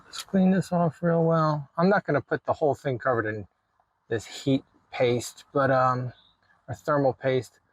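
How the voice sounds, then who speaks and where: A man with a mid-pitched voice talks casually through a hands-on job for a vlog. He sounds informal, as if thinking aloud while he works. The sound is dry, with hardly any room echo. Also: fairly even intonation, measured pace. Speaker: video creator showing a DIY radio install.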